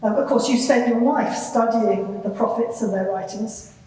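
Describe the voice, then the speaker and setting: A woman's voice: fairly high pitch, normal speed, dark tone, lightly acted. A woman playing a composed, probing lawyer who questions a witness formally and insistently in a live courtroom drama. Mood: pressing. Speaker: examining lawyer in a dramatised inquiry. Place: large reverberant courtroom, live event. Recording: very echoey hall, distant microphone.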